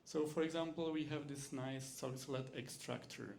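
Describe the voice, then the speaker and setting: Czech guy lecturing in english, gravelly voice, lots of uh and restarts, bit of hiss. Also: mid-range voice, steady tone, a little mellow. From Czech Republic. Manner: halting, many fillers and restarts in second-language English. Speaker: university lecturer. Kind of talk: teaching demonstration talk.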